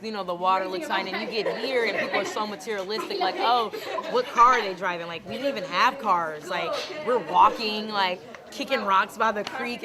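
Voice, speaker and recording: A young woman from the Dominican Republic, talking frankly and chattily in an interview, often saying "like". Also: medium pitch. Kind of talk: casual interview answers.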